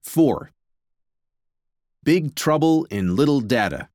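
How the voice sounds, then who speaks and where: A man's voice: on the high side, expressive, slow pace, darkish tone, no echo, clean studio sound, soft background music. A man narrating a nonfiction audiobook from a script.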